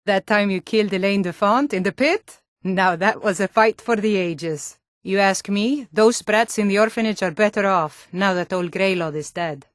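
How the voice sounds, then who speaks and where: A woman's voice: fairly low pitch, dry recording, close to an everyday voice. Woman acting a blunt female Nord guard, dry studio sound. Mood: gruff admiration, then wry, gossiping. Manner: blunt, guarded, plain-spoken. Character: stern, blunt female Nord city guard, fantasy warrior. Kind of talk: video game character voice lines.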